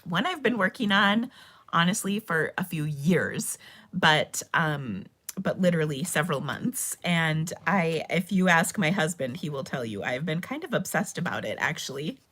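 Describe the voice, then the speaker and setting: A woman hosting a casual live craft stream, chatty and bubbly, her voice a little hoarse because she is losing it. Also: low-pitched, lively intonation, moderate pace, fairly bright tone. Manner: chatty and bubbly, with frequent ums and laughs. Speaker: host of a live stamping and cardmaking stream.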